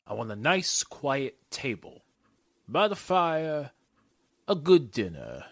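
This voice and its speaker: A man acting a wealthy, imperious and fussy gentleman in a radio drama, with a pompous, haughty delivery. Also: medium pitch, very animated, slow, no room sound, clean studio sound, heavily acted. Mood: imperious, demanding. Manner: pompous, haughty, wheedling menace. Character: wealthy, imperious, fussy club owner demanding special treatment.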